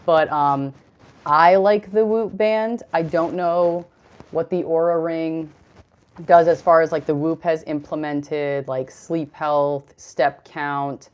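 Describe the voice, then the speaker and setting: Deep-voiced young woman, casual fitness streamer, loose and talkative. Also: steady tone, slow pace, darkish tone. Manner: chatty, rambling, reads chat comments aloud. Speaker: fitness livestream host. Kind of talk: casual livestream chat.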